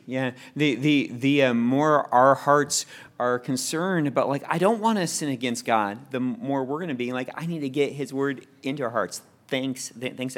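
A man's voice: medium pitch, fairly bright tone. A man leads a church class, reading verses aloud and asking the group for thoughts. He talks in a friendly, loose way and often stumbles and repeats words as he goes. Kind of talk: leading a Bible study class.

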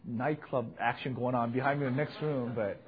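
A young man, a pastor, preaching with earnest emphasis and a loud voice, swelling to fervent peaks. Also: medium pitch, fairly even intonation, fairly quick, phone-quality sound, a little hiss or hum. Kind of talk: sermon with personal report.

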